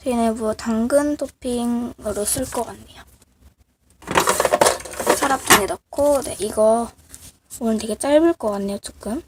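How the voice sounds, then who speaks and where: A woman with a cold that makes her voice sound off, casually showing handmade crafts in a halting, apologetic, self-deprecating way. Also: on the high side. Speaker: craft video creator. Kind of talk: casual show-and-tell of handmade clay miniatures. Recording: noisy amateur recording with clicks.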